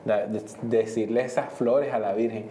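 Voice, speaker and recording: A man interviewer asking questions, halting and searching for words. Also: lowish voice, steady tone, warm tone. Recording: roomy, faint noise.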